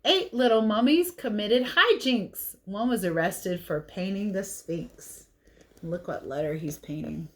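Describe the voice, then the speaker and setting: A woman's voice: medium pitch, measured pace, a bit bright, slight background noise. Lady teacher doing a kids read-aloud, chatty, stops to explain stuff as she goes. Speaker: teacher reading picture books aloud. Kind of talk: read-aloud for children with casual asides.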